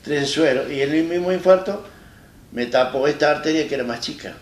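Argentine man, roomy distant studio sound. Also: a little high. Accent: Argentine Spanish. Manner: plain-spoken, halting and retelling with many restarts. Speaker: interview guest. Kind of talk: personal story in a studio interview. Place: small studio set, seated in armchair. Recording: roomy echo, distant mic, faint hiss.

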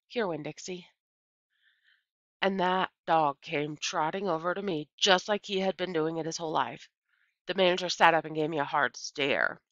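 Bright-voiced woman reading a children's novel, slightly muffled. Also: fairly low pitch, dry recording. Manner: animated, deliberate storytelling with emphatic phrasing. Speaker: teacher reading aloud. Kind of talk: expressive read-aloud of a children's novel.

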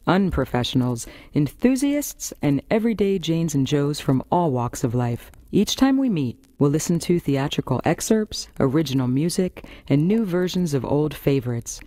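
A woman's voice: low voice, dark tone, no echo, clean studio sound. A woman with an American accent, hosting a variety radio show with scripted first-person storytelling. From Florida, United States.

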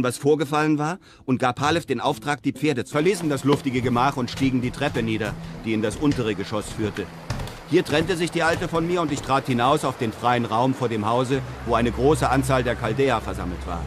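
A male actor as a self-assured adventurer telling his own tale in a radio drama. His narration is measured and literary, in a medium-pitched voice, with music clearly playing along. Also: natural voice. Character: adventurous European traveller recounting his exploits, self-assured. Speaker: first-person narrator.

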